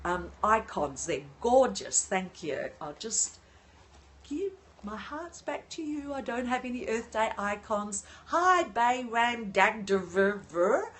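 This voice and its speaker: Slow, animated older woman. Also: a little high. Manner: animated, unhurried, often breaks off to greet and thank viewers. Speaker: livestream host. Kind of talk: casual live chat greeting viewers. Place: at home in an apartment. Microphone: phone livestream.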